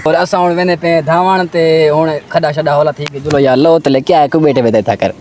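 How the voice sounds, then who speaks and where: Chatty village vlogger. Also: male voice, a little high, fairly quick, heavy background noise. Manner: chatty, excited, calls out to people. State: sore throat. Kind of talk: casual vlog narration. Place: outdoors in the countryside.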